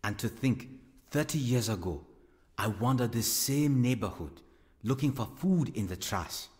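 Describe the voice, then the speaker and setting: An actor playing an earnest middle-aged evangelist and devoted son in a radio drama, with measured delivery. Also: male voice, medium pitch, animated, darkish tone, husky, no echo, clean studio sound. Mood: moved. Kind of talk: radio drama narration and dialogue.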